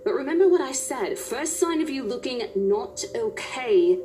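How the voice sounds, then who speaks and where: A woman's voice: high-pitched, lively intonation, moderate pace, mellow, slight background noise. An actress voicing a protective, firm and professional young female real estate agent in a visual novel game. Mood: firm concern. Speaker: video game voice actor.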